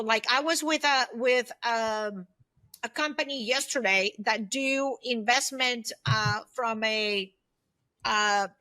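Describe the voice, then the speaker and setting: Bright, forceful woman in her fifties. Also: fairly high pitch. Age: 50s. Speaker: podcast guest, solopreneur and former aerospace executive. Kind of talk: conversational interview answers.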